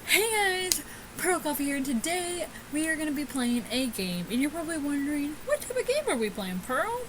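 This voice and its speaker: A woman's voice: sits high, big pitch swings, a little fast, noisy background. A woman hosting a gaming video with casual, chatty let's-play commentary, stumbling over words as she reads aloud. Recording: music behind the voice.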